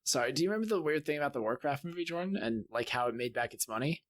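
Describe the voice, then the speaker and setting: A man's voice: mid-range voice, animated, rapid-fire. A man co-hosting a podcast, chatting casually and explaining his opinions with some swearing. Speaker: podcast co-host.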